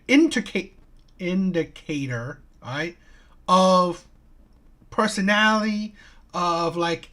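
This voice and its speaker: A man hosting a live stream and giving reaction commentary, talking in a halting way with many restarts and tag questions like "right?". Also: sits high, a bit bright. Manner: halting, repeats "right?" and "okay?".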